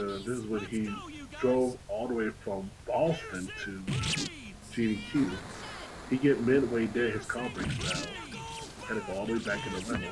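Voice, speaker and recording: A man livestreaming a game and casually telling stories in an animated, slangy way. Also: on the low side, rough recording. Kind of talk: casual chat over gameplay. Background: video game music.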